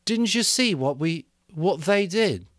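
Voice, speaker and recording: A man acts out a traumatized boy leader from a storybook, his voice raised in pitch. He sounds anguished and guilty, swinging expressively in a dry, close recording. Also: expressive, fairly bright tone, dry recording. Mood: anguished, feverish. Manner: stricken, slow, anguished.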